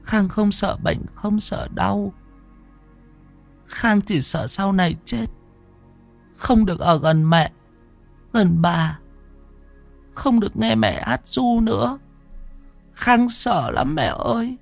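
A man's voice: high voice, animated, slow pace, gravelly, long pauses, faint music behind, voice pushed up, full character voice. A man voicing a frail, sick little boy who speaks in a faint, pleading whisper. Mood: pleading, frightened.

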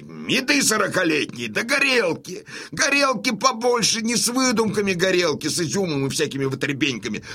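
An actor playing a boisterous, domineering old Cossack colonel and father in a Russian radio drama, with a rough, commanding, folksy delivery. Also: a little high, pushed and bright, heavily acted. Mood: gruff, bossy. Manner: commanding, hearty, folksy, declamatory.

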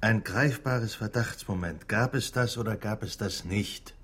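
An actor playing a persistent, probing investigator in a radio drama, putting questions in a deliberate, insistent way. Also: male voice, fairly low pitch, fairly bright tone, breathy edge. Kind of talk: German radio drama dialogue.

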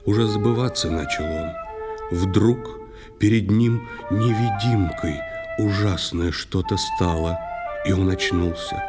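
A man narrating an audio play in verse, with a lyrical, measured, theatrical delivery. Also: deep voice. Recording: clear flute music behind the voice.

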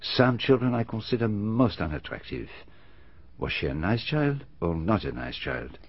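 Courteous, probing continental sleuth, low, husky, gently insistent; radio play. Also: male voice, big pitch swings, normal speed. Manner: polite, precise, gently insistent questioning. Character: fastidious middle-aged male Belgian detective, courteous and probing. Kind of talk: BBC radio drama acting. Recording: dull archival radio recording.

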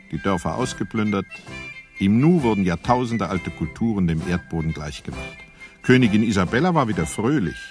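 Deep-voiced man narrating over music. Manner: measured storytelling with dry irony. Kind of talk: scripted radio play narration.

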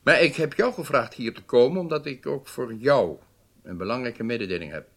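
A man's voice: mid-range voice, animated, normal speed, fairly bright tone. An actor playing a stern male head of government in a radio drama, speaking formally with weighty emphasis. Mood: grave, commanding.

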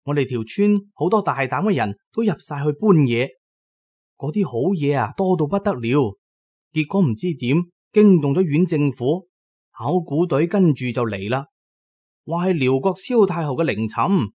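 A man's voice: on the high side, animated, mellow, breathy edge, lo-fi sound. A man voicing a young, eager, gossipy village accountant in an audio storybook, with a chatty way of telling news. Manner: chatty, drawn-out tale-telling with particles.

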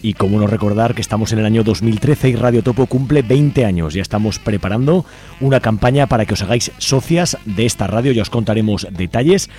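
A man's voice: fairly low pitch, brisk, mellow. A man with an accent from Spain, informally co-hosting a community radio show from a studio. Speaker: radio co-host. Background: music.

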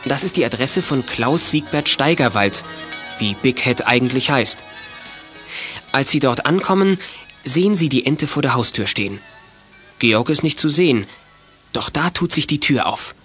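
A man's voice: mid-range voice, expressive, normal speed, long pauses, a bit bright, faint music behind, natural voice. A man narrating a children's detective audio drama in scripted storytelling style.

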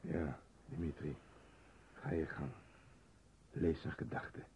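An actor playing a grave, commanding member of a heroic brotherhood in a radio drama, measured and husky. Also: male voice, very deep voice, flat delivery, darkish tone, a little hiss or hum. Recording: muffled radio drama recording.

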